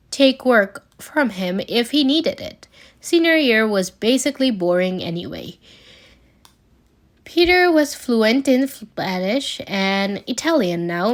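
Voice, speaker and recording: A woman narrates a written story at a slow pace in a mid-pitched voice. She reads as an amateur audiobook narrator would, now and then tripping on a word. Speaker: amateur podfic reader. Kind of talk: scripted fan fiction narration read aloud.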